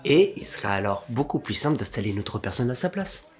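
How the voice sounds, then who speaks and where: A man playing a composed, scheming crime clan member in an audio drama, speaking in a measured, conspiratorial manner. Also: mid-range voice, very animated, a little mellow, close to an everyday voice.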